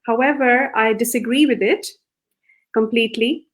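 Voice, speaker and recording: A middle-aged woman from Pakistan, a psychiatrist guest, talking softly and gently with frequent hesitations. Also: on the high side, fairly even intonation, normal speed. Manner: soft, gentle voice with many hesitations. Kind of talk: interview answers.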